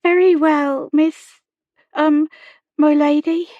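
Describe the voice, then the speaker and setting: A timid young servant girl from a storybook, acted out by a woman reader, pitched well up high. She speaks in a hesitant, rustic, ungrammatical way, meek and unsure of herself. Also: level tone, slow, no room sound, muffled recording. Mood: shy, hesitant. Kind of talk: audiobook character dialogue.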